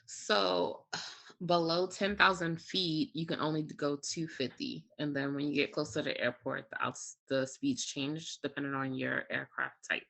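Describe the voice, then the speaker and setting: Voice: mid-range voice, steady tone, a little slow, gravelly, a bit bright. An air traffic controller talking casually as an interview guest, explaining things step by step with examples. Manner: explains step by step with examples, frequent "you know" and "like".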